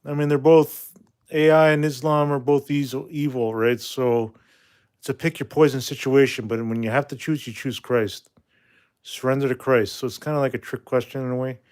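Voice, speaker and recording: A man's voice: mid-range voice, fairly even intonation, normal speed, darkish tone, clean tone. Forties male streamer from Canada; loose, rambling, blunt comment-reader. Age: 40s. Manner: rambling, reads viewer comments aloud, blunt. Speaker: livestream host. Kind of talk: casual livestream chat reading comments.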